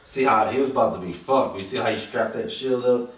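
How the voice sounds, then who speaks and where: A man talking casually about a movie with slangy, profane phrasing, acting out lines in an animated way. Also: mid-range voice, fairly quick. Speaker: movie review co-host. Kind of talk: casual unscripted movie chat. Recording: very echoey room, distant mic, noisy.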